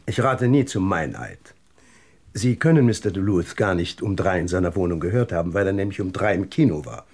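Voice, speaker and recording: An actor in a German radio play voicing a shrewd, relentless police detective with a formal, insistent manner. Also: male voice, low voice, animated, brisk, a little mellow. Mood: cool challenge.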